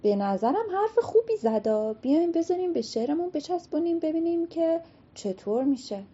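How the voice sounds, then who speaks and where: A woman voicing a group of kindly magical old women in a told folktale, chattering quickly. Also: extremely high pitch, very animated, warm tone, muffled recording, voice pushed up. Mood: pleased.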